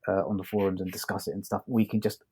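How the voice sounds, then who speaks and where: A man's voice: low-pitched, little pitch movement, quick pace, mellow, husky. A man co-hosting a podcast, talking casually and appreciatively in loose, hesitant phrases. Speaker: podcast co-host. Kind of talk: casual review chat.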